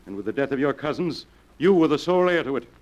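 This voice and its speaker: An actor playing an earnest, horrified witness, urgent and indignant in a 1940s radio drama style. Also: male voice, on the high side, lively intonation, quick pace, a little mellow, muffled recording, lightly acted. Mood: stern, earnest. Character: horrified, earnest male witness confronting a killer. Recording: old 1940s radio recording.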